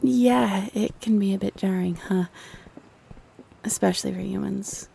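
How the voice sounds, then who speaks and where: A woman's voice: medium pitch, fairly even intonation, unhurried, creaky, mellow, a little hiss or hum. Woman acting a chatty, slightly flustered young fantasy creature. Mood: friendly, chatty. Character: short friendly young female non-human city local. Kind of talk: roleplay audio drama.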